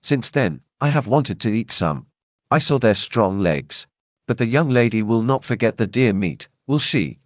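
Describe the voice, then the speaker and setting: A man voicing a blustering, hungry male messenger of the king, gruff and impatient, in a storybook reading. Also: medium pitch, lively intonation, measured pace, no room sound, studio quality, lightly acted. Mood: eager.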